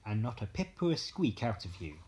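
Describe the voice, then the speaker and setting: A man's voice: lowish voice, very animated, a little fast, darkish tone. A man voicing an enormous, cautious but helpful giantess with a motherly, warning manner in a bedtime story. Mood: urgent.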